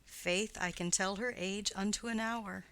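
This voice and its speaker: A woman's voice: medium pitch, fairly even intonation, unhurried. Woman doing a chattering old nurse. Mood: rambling fond. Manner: chattering, swooping up loud on quoted exclamations. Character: garrulous old female nurse, rambling and fond. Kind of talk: dramatic reading of a play.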